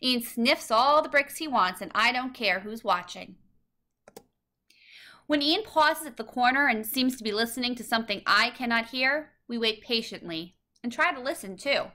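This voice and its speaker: A woman with a bright, fairly high voice reads a children's storybook aloud. Her narration is scripted and expressive, each phrase set out clearly for young listeners. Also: moderate pace. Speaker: storybook reader.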